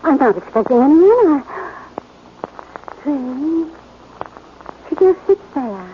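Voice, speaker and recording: A woman's voice: sits high, big pitch swings, slow pace, exaggerated voice. A woman playing a scheming, respectable woman of seventy in 1940s radio acting, prim and genteel, fluttery when scared. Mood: frightened. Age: 70s. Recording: thin, muffled old radio transfer with hiss.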